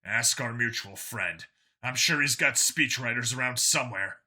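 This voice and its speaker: Man acting a blunt, forceful cop. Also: medium pitch, normal speed. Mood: dry. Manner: blunt, insistent. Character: blunt human policeman among aliens, forceful and sardonic.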